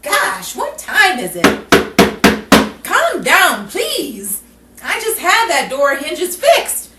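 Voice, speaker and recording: A woman's voice: very high-pitched, huge pitch swings, extremely slow, heavily acted. A woman acting a put-upon, sarcastic, wisecracking friend in a 1940s-style radio comedy. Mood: exasperated. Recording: roomy, distant mic, noisy amateur recording.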